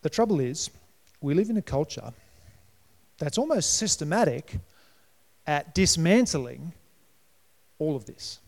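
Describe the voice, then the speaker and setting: A middle-aged Australian man preaching in a chatty, conversational way, with a gravelly edge to his voice. His pitch sits a little high for a man, and he now and then jumps up louder and higher. The church hall around him leaves a long reverb on every phrase. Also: big pitch swings, unhurried, pauses often. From New South Wales, Australia. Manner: conversational preaching with sudden lifts in pitch and volume. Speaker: pastor. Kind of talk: sermon.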